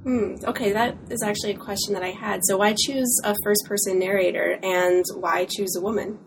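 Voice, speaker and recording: A woman interviewing an author, asking measured, curious questions. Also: mid-range voice, steady tone, creaky, noisy background.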